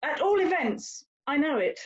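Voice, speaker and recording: A woman's voice: high voice, very animated, moderate pace, lo-fi sound. A woman playing a haughty, worldly young lady in a period drama, with an emphatic, condescending, theatrical delivery. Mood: exasperated. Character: haughty, worldly young English lady, teasing older sister. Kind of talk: stage-style period drama dialogue.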